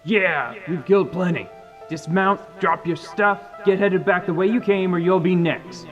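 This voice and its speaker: A woman's voice: on the low side, moderate pace, lo-fi sound, soft background music, close to an everyday voice. A woman voicing a crude, threatening male bandit who swears and barks orders.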